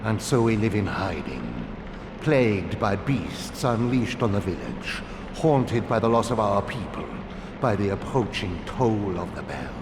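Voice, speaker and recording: An actor playing a solemn, haunted villager in a video game cutscene, speaking gravely and formally. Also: male voice, on the low side, sing-song. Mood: grave, mournful. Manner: grave, deliberate, formal phrasing. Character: solemn, haunted male villager living in hiding. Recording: echoey hall, long reverb, loud noise.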